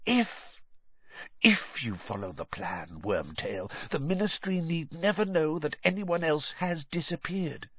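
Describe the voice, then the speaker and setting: Sickly menacing dark lord, read by a man: hissing, breathy, tight and dry. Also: a little high, very animated, measured pace, no room sound, lo-fi sound, pitched above the performer's own voice, heavily acted. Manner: hissing whisper.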